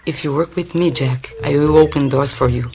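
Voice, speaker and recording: A gravelly priestess. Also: female voice, low voice, normal speed, darkish tone, a little hiss or hum. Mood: enigmatic. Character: mysterious Brazilian Macumba priestess. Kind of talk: radio play acting. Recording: studio radio play with music score.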